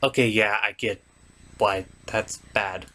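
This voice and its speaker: A man's voice: lowish voice, steady tone, slow, pushed and bright. A man giving casual comic review commentary in an offhand, rambling, sardonic way. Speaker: reviewer and puppeteer host.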